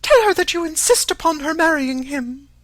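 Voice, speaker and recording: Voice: sits high, animated, moderate pace, fairly bright tone, no echo, pitched above the performer's own voice. A narrator voicing an agitated, demanding middle-aged mother in an audiobook, exclamatory and pleading. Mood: agitated, insistent. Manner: exclamatory, pleading, self-pitying.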